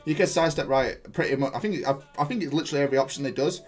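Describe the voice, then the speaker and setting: A man's voice: medium pitch, very fast, faint music behind. A man giving explanatory gameplay commentary as a player, thinking aloud through his match in gaming jargon. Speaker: gaming YouTuber and player analysing own match.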